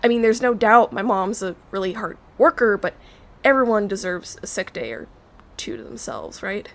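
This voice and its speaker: Woman acting guarded young woman, mid-pitched voice. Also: animated, normal speed, natural voice. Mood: defensive, guarded. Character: wary, questioning young woman, the manager's daughter. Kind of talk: naturalistic audio drama acting.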